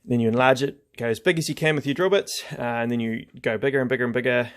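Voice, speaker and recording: A man's voice: medium pitch, fairly even intonation, fast talker. A man giving a casual, hands-on craft demonstration, explaining each step in an informal, laid-back way. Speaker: craft tutorial host.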